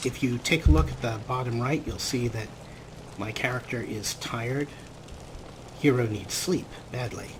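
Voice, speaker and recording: A man's voice: medium pitch, noisy background. A man hosting a let's play, giving casual, wry gameplay commentary as if half talking to himself. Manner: wry, unhurried, talks to himself.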